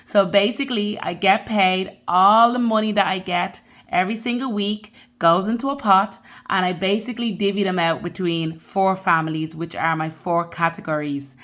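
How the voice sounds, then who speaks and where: A woman's voice: medium pitch, fairly even intonation, normal speed, a bit bright. A woman in her thirties from the Caribbean with a Dublin accent, chatting loosely and directly to her viewers as a budgeting vlogger. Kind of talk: casual chat to camera.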